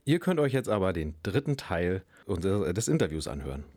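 A man presenting a school radio segment, introducing a song and the next part with a slightly halting delivery. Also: deep voice, sing-song, darkish tone.

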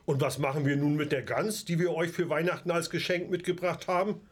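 A man's voice: fairly high pitch, fairly even intonation, a little fast, breathy edge. A male amateur playing a stubborn, skeptical grandfather in an audio drama. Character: skeptical old grandfather, stubborn meat-eater.

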